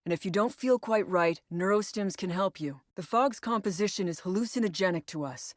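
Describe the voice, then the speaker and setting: A woman playing a composed female operations agent in a video game, briefing a squad in a measured, matter-of-fact way. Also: medium pitch, no echo, clean studio sound, lightly acted. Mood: focused, instructive. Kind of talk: scripted video game voice lines.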